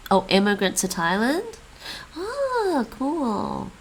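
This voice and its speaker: A woman's voice: a little high, huge pitch swings, takes it slowly, slight background noise. A woman who grew up with English and Chinese, chatting casually and thinking aloud as she practices languages on a stream. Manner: thinks aloud, repeats foreign phrases. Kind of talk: casual chat while studying languages.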